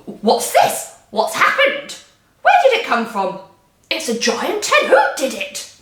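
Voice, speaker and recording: A woman doing an excitable, fussy little father. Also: high voice, takes it slowly, exaggerated voice. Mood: excited. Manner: excited, exclaiming. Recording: roomy echo.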